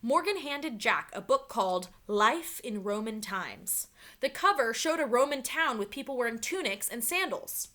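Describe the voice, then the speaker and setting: Woman, teacher read-aloud, children's story, high bright voice, animated, dramatic storytelling. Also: normal speed.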